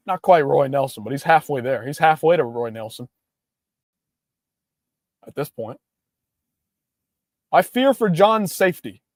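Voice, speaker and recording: A man's voice: on the high side, no echo, studio quality. A young man hosting a casual livestream, ranting in a hyped-up, profane way. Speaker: livestream host.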